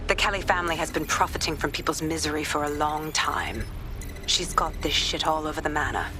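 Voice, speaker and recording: An actress playing a hardened, bitter British police detective in a video game, terse and determined. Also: female voice, low-pitched, fairly quick, over music, natural voice. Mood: grim disgust.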